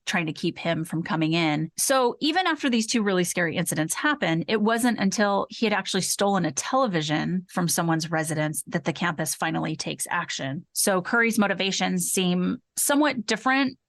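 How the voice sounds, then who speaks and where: A woman's voice: on the low side, fairly quick, few pauses, a bit bright, no room sound. A woman co-hosting a true-crime podcast, talking in an analytical but informal conversational way. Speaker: podcast co-host.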